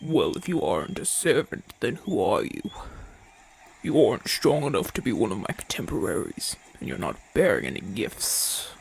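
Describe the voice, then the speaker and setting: A man playing a proud, haughty Roman gladiator in an ASMR audio roleplay, imperious and formal, often dropping to a breathy murmur. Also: lowish voice, very animated, moderate pace, exaggerated voice, rough recording. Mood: haughty, guarded. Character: proud, haughty Roman gladiator champion, gruff but softening.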